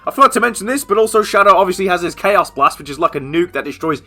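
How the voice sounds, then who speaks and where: A young British man with a high, bright voice talks through video games at a rapid clip. He is casual and opinionated, keen on his subject and blunt, swearing freely as he goes. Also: animated. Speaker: gaming video creator. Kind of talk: casual opinionated game commentary voice-over.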